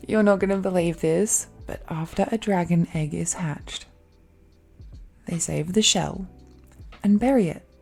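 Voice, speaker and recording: Slow, tender dragon-girl fiancée, played by a woman. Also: fairly low pitch, a little mellow, soft background music, full character voice. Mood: playful, excited. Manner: breathy, hesitant, giggly, tender. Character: affectionate, possessive young dragon-blooded woman, giddy bride-to-be. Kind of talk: intimate romantic audio roleplay.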